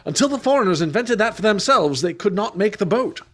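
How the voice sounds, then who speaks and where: A man plays a gruff, middle-aged government clerk in a radio drama, frayed and anxious. His voice is high for a man and husky. He speaks hesitantly, in an agitated manner. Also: expressive, fairly quick, fairly bright tone. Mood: nervous, hesitant. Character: thin, gruff, nervous middle-aged male senior clerk. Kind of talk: radio play acting.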